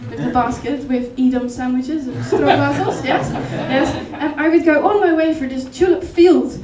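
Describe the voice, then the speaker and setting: A woman with a Dutch accent performing a rambling, hesitant stand-up set in a deadpan, absurd way. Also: sits high, moderate pace, roomy sound, recorded from a distance, crowd noise. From Netherlands. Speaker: stand-up comedian. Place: comedy club stage. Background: audience laughter.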